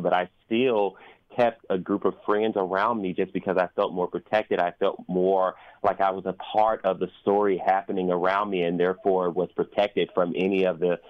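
Deep-voiced, reflective American man in interview. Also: dry recording, phone-quality sound. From United States. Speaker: opera singer and interview guest. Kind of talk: conversational radio interview.

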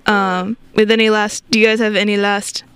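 A woman hosts a relaxed radio interview and keeps breaking into giggles. Her voice is smooth and resonant, recorded dry and close with no room around it. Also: mid-range voice, moderate pace, dry recording. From not from the country of the show. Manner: giggly, laughs often. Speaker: radio show host. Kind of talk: casual interview.

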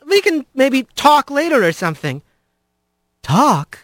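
Man playing a hesitant, higher-voiced young rat. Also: sing-song, takes it slowly, a bit bright, no echo, clean studio sound, full character voice. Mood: flustered, apologetic. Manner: hesitant, stammering, polite. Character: shy, flustered young male rat newcomer.

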